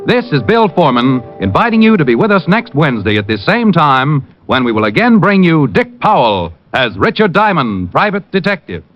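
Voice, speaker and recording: A man announcing and reading a commercial on a 1950s radio broadcast, formal and crisp. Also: a little high, big pitch swings, moderate pace, few pauses, music in the background. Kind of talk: scripted announcing. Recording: dull lo-fi 1950s radio recording.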